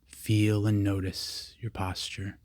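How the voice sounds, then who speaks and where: A man leading a guided meditation, giving calm, simple, repeated instructions with long pauses between them. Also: lowish voice, no echo. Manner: calm, repetitive, unhurried cues with long pauses.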